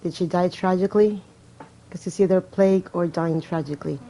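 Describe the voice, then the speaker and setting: A woman's voice: fairly low pitch, fairly even intonation, a little fast, dark tone, rough recording. A woman reading game text aloud as a let's play streamer, breaking off for casual, sarcastic, wry commentary. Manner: sarcastic, wry, swearing asides.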